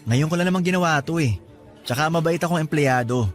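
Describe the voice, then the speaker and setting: Man acting a higher-voiced, evasive two-timing boyfriend. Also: brisk, soft background music, natural voice. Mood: casual, evasive. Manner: stammers and repeats himself when flustered. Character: nervous two-timing boyfriend caught lying. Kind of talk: Tagalog radio drama acting.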